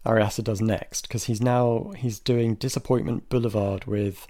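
Casual podcast co-host, male, low voice, chatty with lots of hedging. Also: fairly even intonation, normal speed, dark tone. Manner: chatty, many restarts and hedges, bursts of laughter. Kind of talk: casual film discussion.

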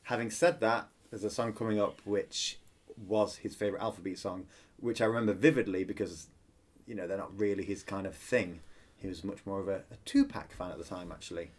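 A man's voice: sits low, fairly even intonation, fairly quick. A man co-hosting a podcast, chatting enthusiastically about pop songs with playful asides and frequent hesitations. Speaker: podcast co-host. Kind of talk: casual chat reviewing an album.

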